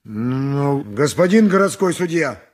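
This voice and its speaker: An actor playing a poor, simple donkey driver in a radio play, humble and pleading, stammering and exclaiming. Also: male voice, mid-range voice, very animated, slow pace, a little mellow. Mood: meek. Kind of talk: 1980s radio play acting.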